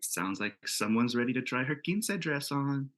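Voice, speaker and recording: A man's voice: mid-range voice, expressive, moderate pace, a bit bright, natural voice. Male reader for wise, loving grandmother in a comic reading, earnest and affectionate, middle register. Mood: fond, earnest.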